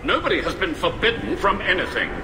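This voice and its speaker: A combative alien admiral. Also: male voice, high-pitched, sing-song, a little fast, noisy background. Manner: sharp, combative. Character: stern older male alien admiral, hostile and hardline. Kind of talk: video game cutscene dialogue. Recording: echoey game mix with music.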